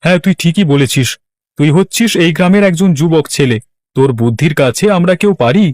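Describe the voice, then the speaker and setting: A man's voice: on the high side, fairly quick, a little mellow, no room sound, close to an everyday voice. A man voicing a friendly, eager village shopkeeper uncle in a cartoon, chatty and obliging. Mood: agreeable. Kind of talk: Bangla cartoon voice acting.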